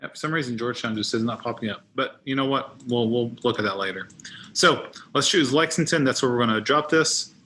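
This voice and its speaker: Bright-voiced man giving a software walkthrough. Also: mid-range voice, fairly quick, slight background noise. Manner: thinks aloud while demonstrating. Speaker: trainer giving a software tutorial. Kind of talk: informal screen-share walkthrough.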